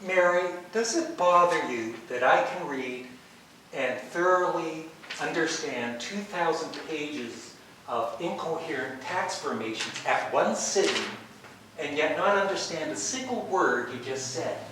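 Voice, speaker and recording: A man's voice: on the high side, expressive, a little slow, bright, forceful tone. Man acting an exasperated husband in echoey hall. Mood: baffled, hurt. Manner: theatrical, swinging from muttered disbelief to indignant outbursts. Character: exasperated, bewildered husband jealous of his wife's fantasy lover. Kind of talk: stage play rehearsal acting. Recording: distant, echoey room with background noise.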